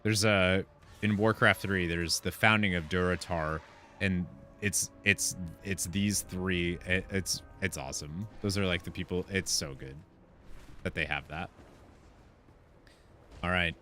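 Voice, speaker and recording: A man's voice: low-pitched, fairly even intonation, unhurried, bright, forceful tone. A man doing casual game commentary, thinking aloud and reading quest text out. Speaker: gaming content creator. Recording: faint game music behind. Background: game music and sounds.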